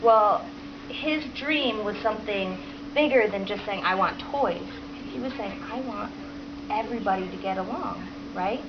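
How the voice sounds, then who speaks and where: A woman's voice: mid-range voice, a little slow. A woman reads aloud to children as a teacher would, asking questions and encouragingly repeating their answers. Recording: dull, lo-fi home recording with clear background noise.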